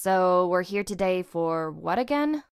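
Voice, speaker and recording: A woman voicing an aloof, sardonic squad member in a visual novel, deadpan and unimpressed. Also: lowish voice, a little slow, clear, resonant tone, no echo, studio quality.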